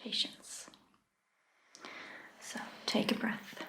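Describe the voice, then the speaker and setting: Very slow, whispering woman playing vampire doctor. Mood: gentle concern. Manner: unhurried, gentle, reassuring, with long pauses. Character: calm, caring centuries-old female vampire doctor. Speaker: ASMR roleplay performer. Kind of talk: soft-spoken ASMR roleplay, mostly whispered.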